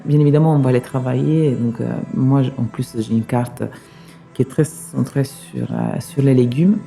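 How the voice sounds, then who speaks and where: Gravelly-voiced man with an Italian accent. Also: medium pitch, fairly even intonation, moderate pace, warm tone, faint music behind. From Sicily, Italy. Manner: hesitant, many fillers and restarts. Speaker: pizzaiolo guest. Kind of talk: interview answers.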